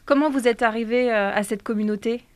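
A woman's voice: on the high side, brisk. A woman hosting a local radio show, reading news items and then interviewing guests with frequent hesitations. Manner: hesitant with many fillers when asking questions. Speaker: radio host.

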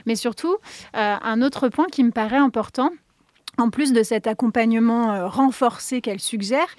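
A woman's voice: on the high side, moderate pace, a little mellow, dry recording. A woman hosting a radio show, talking in a conversational, partly scripted way with frequent hesitations and light laughs. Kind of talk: semi-scripted radio commentary. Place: radio studio.

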